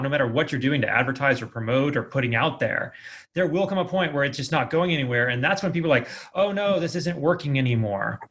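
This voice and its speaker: A man's voice: fairly high pitch, fairly bright tone. A man hosting a podcast interview in an affirming, effusive, conversational way.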